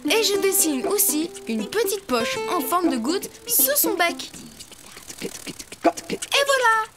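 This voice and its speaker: A woman's voice: very high voice, sing-song, slow pace, over loud music, heavily acted. A woman voicing a cheerful, confident young boy character in a preschool cartoon, explaining things in a friendly way to young viewers. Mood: cheerful, instructive. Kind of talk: preschool cartoon voice acting, drawing tutorial.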